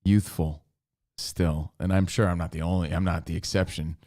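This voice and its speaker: A man in his forties with a fairly low voice, co-hosting a podcast. He talks in a blunt, loose, casual way and swears freely as he tells stories. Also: fairly even intonation, moderate pace, warm tone. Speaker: podcast co-host. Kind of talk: casual chat.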